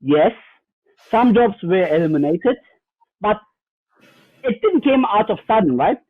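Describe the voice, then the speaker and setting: A high-pitched man from Germany speaks as a guest in a fireside chat. He explains earnestly, often pausing on fillers and restarting his sentences. His voice comes through a tinny, phone-like remote line with a dry sound. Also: sing-song, no room sound. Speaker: guest cybersecurity executive.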